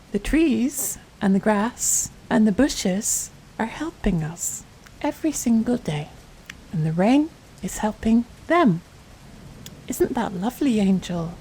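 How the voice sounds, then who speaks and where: A woman playing a tender, doting mother in a soft-spoken ASMR bedtime roleplay, gentle, soothing and affectionate, often dropping to a whisper. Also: fairly high pitch, sing-song, a little slow, a little hiss or hum, rough recording. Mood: tender, playful. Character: tender, doting mother soothing her little girl to sleep.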